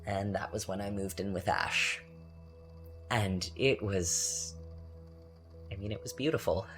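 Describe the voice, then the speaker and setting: A man acting as a bitter, taunting young man in a confessional dramatic monologue, with a sardonic, halting, conversational delivery. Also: low-pitched, fairly bright tone. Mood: wistful. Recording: music under the voice. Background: music.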